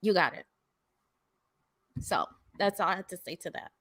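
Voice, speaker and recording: A woman's voice: fairly low pitch, expressive, normal speed, a bit bright, no echo. A young woman from a Jamaican household, talking earnestly on a live panel and often restarting her words.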